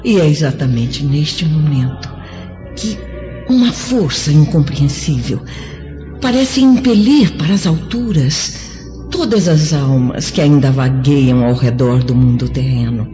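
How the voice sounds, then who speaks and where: A woman's voice: deep voice, animated, warm tone. A woman playing a serene, devout noble spirit in a solemn, lyrical, declamatory radio drama. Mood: awed rapture. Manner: lyrical, declamatory, drawn-out phrasing. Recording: muffled old radio drama with music.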